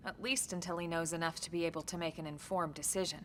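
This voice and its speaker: An actress playing a calm, capable military officer in a science fiction video game, speaking in a serious, level-headed and precise way. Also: female voice, on the low side, fast, slight background noise, close to an everyday voice. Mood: thoughtful. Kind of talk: video game cutscene acting, briefing dialogue.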